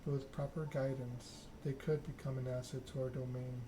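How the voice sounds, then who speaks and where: A man's voice: medium pitch, level tone, moderate pace, close to an everyday voice. A man reading the part of a poised, persuasive vampire woman, polite and careful in speech. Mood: diplomatic, composed. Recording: clear background noise.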